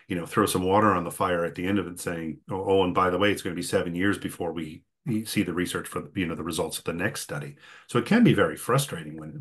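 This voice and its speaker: Deep-voiced male host, halting speech. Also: a bit bright. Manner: halting, many fillers and restarts. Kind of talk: conversational interview.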